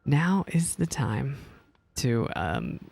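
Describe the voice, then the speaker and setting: A man's voice: medium pitch, very animated, very slow, vocal fry, darkish tone, slight background noise. A man giving casual live reaction commentary on a game as a streamer, in a gushing, enthusiastic manner.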